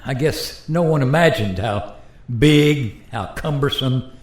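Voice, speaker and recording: A man's voice: mid-range voice, slow, close to an everyday voice. A man playing a sheepish, resigned modern American in a radio play, explaining things hesitantly and apologetically. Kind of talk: amateur radio play acting. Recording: roomy, echoey voice recording.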